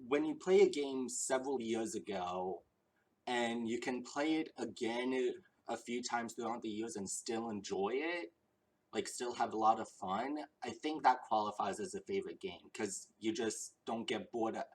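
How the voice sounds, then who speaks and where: A man's voice: medium pitch, normal speed, breathy edge, low-quality recording. A young man answering viewer questions in a casual chat, earnest and eager, rambling with ums and restarts, a little out of breath. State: slightly out of breath.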